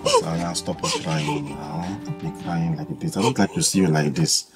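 An actor with a Nigerian accent playing a doting, soft-hearted boyfriend in a film drama, coaxing and repeating himself. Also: male voice, low voice, unhurried, music in the background. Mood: soothing, pleading. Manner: coaxing, repetitive, punctuated with "eh". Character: doting, soft-hearted boyfriend soothing his crying woman.

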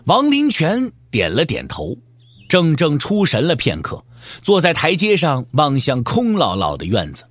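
Highly animated, higher-pitched male narrator. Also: dry recording. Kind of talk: dramatic scripted audiobook narration.

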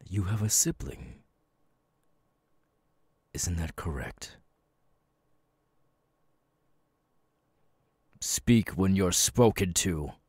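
A man plays a cold, cruel demon lord in an ASMR roleplay. The voice is extremely low and very husky, breathing out slow, deliberate threats with imperious command. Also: animated, darkish tone, no room sound, exaggerated voice. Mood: stern, menacing. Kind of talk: ASMR audio roleplay monologue.

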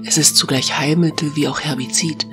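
A woman voicing a wise, self-assured alpha female of talking forest beasts in a fantasy audio drama, with a deep, feminine voice and a calm, gently admonishing manner. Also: fairly quick, music in the background, natural voice.

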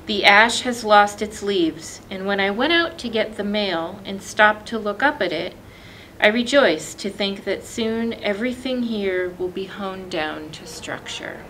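A woman in her thirties reading literature aloud as a librarian, with measured, deliberate phrasing and pauses. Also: medium pitch, a bit bright, slight background noise, low-quality recording. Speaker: librarian presenting a poetry reading. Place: indoor library, seated among bookshelves.

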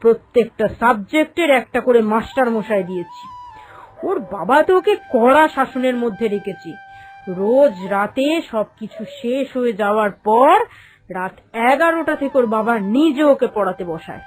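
High-pitched boastful cartoon mother, acted by a woman. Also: lively intonation, moderate pace, faint music behind, close to an everyday voice. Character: boastful, strict, preachy middle-aged mother. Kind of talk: animated cartoon voice acting.

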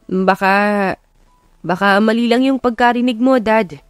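A smooth-talking man in his late twenties voiced by a woman narrator in an audio romance. He is stubborn and assured, slipping English into his Tagalog. The sound is dry and close. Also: fairly high pitch, measured pace, no echo, close to an everyday voice. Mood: bluffing.

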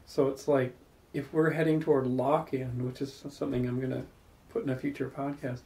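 A man hosts a casual salon in a living room and spins long, thoughtful stories. His voice has a gravelly texture and a dark, mellow tone, and he is picked up from across the room. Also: mid-range voice, level tone, a little fast. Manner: thoughtful, rambling, builds long spoken stories. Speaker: salon host. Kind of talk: reflective storytelling in casual group talk.

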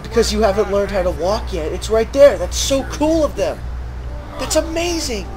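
High-voiced sarcastic sweary male gamer. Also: lively intonation, normal speed, noisy background. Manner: sweary, exasperated ranting; mock-dramatic, heavy sarcasm. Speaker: gaming streamer. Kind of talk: sarcastic gameplay commentary. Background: video game music and sound.

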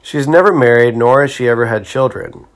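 A man narrating a scripted biography, reading facts in a steady, list-like way. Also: medium pitch, fairly quick.

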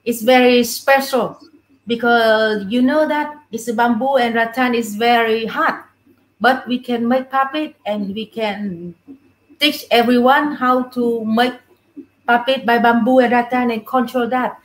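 Middle-aged woman, Vietnamese accent, slow pace, simple broken English, explaining a craft, echoey room. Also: a little high, steady tone. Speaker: puppeteer, guest. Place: small indoor puppet room. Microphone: webcam at a little distance. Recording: echoey room over video call.

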